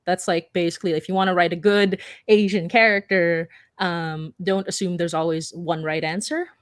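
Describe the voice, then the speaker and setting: A woman speaking unscripted as a writer on a discussion panel, earnest and halting as she rephrases her points. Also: lowish voice, steady tone, no echo, studio quality. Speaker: panelist, audio drama writer.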